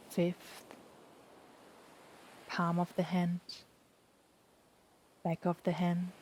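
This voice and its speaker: A woman guiding a yoga nidra relaxation in a soft, soothing, unhurried voice with long pauses. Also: on the low side. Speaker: yoga nidra meditation guide. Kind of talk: guided relaxation meditation. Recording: steady background noise, ocean waves. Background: sound of the ocean.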